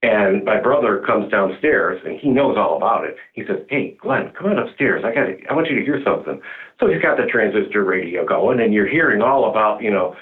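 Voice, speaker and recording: Low male voice, middle-aged, rambling casual interview guest, narrow muffled phone-like sound. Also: animated, fairly quick. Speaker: community theater director, interview guest.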